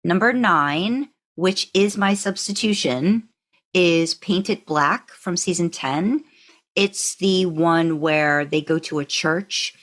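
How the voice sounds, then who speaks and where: A woman's voice: lowish voice, takes it slowly, fairly bright tone. A woman co-hosting a podcast, talking through her opinions in a casual, conversational way with hedging asides. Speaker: podcast co-host; narrator by trade. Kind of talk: casual fan discussion.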